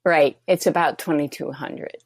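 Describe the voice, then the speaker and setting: A woman in her sixties from the Pacific Northwest, calling in to a radio talk show and explaining slowly and haltingly. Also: deep voice, fairly even intonation, no room sound. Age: 60s. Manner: hesitant, halting, with fillers. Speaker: caller. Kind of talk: phone-in question on a talk show.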